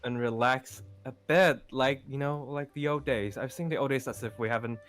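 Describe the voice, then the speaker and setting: A man's voice: mid-range voice, steady tone, normal speed, fairly bright tone, close to an everyday voice. A man playing an easygoing young confidant in an improvised game roleplay, talking in a casual, rambling, friendly way. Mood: relaxed, tired. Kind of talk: improvised Minecraft roleplay acting. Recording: music behind the voice.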